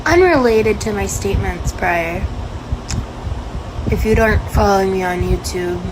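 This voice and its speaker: A woman's voice: medium pitch, moderate pace, background noise. A woman giving an earnest, direct statement to her vlog camera.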